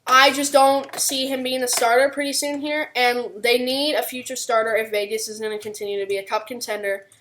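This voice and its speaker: A woman with a fairly high voice co-hosts a sports podcast. She talks through her analysis conversationally, without a script. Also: fairly even intonation, fairly quick, few pauses, a bit bright, a little hiss or hum. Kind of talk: unscripted hockey analysis discussion.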